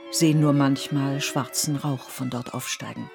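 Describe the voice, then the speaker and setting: A woman playing the sober, deliberate narrator of a historical radio play. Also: rumbling low, steady tone, creaky, music in the background. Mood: descriptive.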